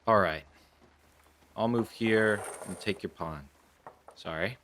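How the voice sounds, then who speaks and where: Man playing a gloomy low-voiced policeman, bright edge. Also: slow pace, slight background noise. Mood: distracted, subdued. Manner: gloomy, self-pitying. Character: despondent, brooding, lovelorn 1930s policeman. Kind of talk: radio play acting.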